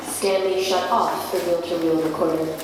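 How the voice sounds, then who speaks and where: A woman reads literary narration aloud from a distance. Her voice rings through a very echoey hall, with clear noise around it. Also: on the low side, level tone, normal speed. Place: large echoey venue, live reading. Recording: echoey hall, far from mic, noisy.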